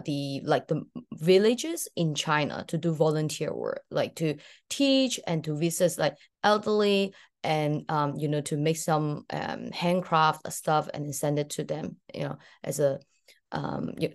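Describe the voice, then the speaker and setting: Low-voiced woman with a Hong Kong accent. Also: vocal fry, a little mellow. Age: young adult. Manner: hesitant, many fillers and restarts. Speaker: podcast guest, graduate student. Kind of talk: casual interview storytelling.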